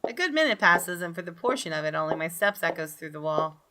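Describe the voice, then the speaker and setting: A woman reading a visual novel aloud as expressive, dramatic storytelling for a gaming video. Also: fairly low pitch, fast. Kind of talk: expressive scripted narration with brief asides. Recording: game music behind the voice. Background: soft game music.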